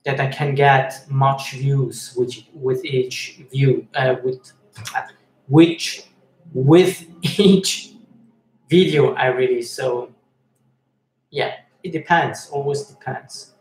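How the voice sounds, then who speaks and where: A man from Switzerland, hosting a live-stream chat and reading viewer messages aloud, speaking in a halting way with many fillers and restarts. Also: medium pitch. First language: Swiss German and Italian. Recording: echoey room with faint noise.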